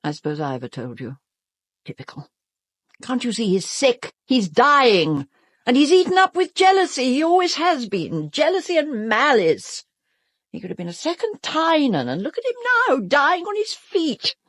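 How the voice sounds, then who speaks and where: A self-absorbed British stage diva in a radio drama. Her voice is husky and swings widely in pitch, recorded close and dry. She is haughty and imperious, with a theatrical, melodramatic delivery that betrays an anxious vanity. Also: huge pitch swings, moderate pace, fairly bright tone, no room sound, full character voice. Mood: bitter. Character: vain, self-absorbed, fearful stage actress. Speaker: actress in an audio drama.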